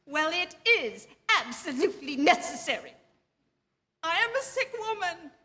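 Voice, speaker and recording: Casting: neurotic, imperious invalid wife for suspense radio play; high, sharp, pleading voice, near hysteria. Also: female voice, very animated, unhurried, exaggerated voice. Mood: agitated, demanding. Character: anxious, demanding, self-pitying invalid wife spiraling into hysteria. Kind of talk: theatrical radio drama acting.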